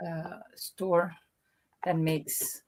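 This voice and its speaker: Low-voiced alto woman chatting. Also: drawn-out words. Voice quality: deep alto voice. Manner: lively, animated storyteller. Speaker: guest. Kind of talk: casual chat.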